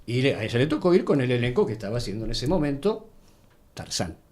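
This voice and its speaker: A man with an Argentine accent chatting casually as a guest, telling anecdotes in a halting, digressive way. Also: mid-range voice, big pitch swings, a little mellow. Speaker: interview guest.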